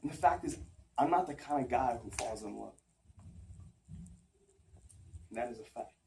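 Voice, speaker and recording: A man acting out an anguished, self-doubting rationalist confessing forbidden love in an articulate, earnest stage monologue with dramatic pauses. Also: high voice, lively intonation, darkish tone. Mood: subdued confession. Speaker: acting student. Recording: roomy, distant mic, faint background noise.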